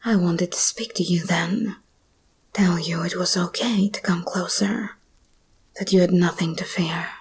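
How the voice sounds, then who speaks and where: A woman playing an escaped hybrid monster girl, possessive yet tender, in an intimate, breathy whispered ASMR roleplay. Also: lowish voice, fairly even intonation, heavily acted. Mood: bitter, yearning. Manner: slow, intimate, intense.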